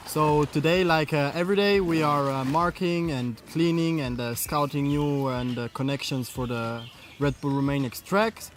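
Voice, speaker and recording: A track manager talks hesitantly and fills his pauses with many ums. His voice sits a little high. Faint background noise runs behind him. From Austria. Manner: hesitant, filler-heavy. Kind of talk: casual talk to camera.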